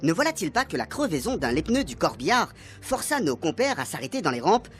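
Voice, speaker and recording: A man's voice: a little high, very animated, brisk, fairly bright tone. A man reading scripted narration for a comic radio serial.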